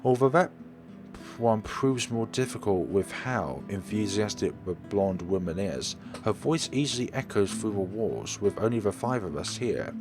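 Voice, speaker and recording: Low, expressive male game narrator. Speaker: let's play host reading aloud. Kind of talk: dramatic scripted narration with asides.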